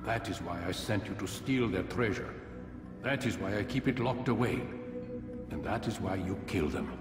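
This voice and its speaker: An actor playing an aged, commanding assassin master in a video game, speaking as a grave, oratorical mentor. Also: male voice, low voice, expressive, normal speed, echoey room, big-room sound, far from the mic, music in the background. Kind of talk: video game cutscene dialogue.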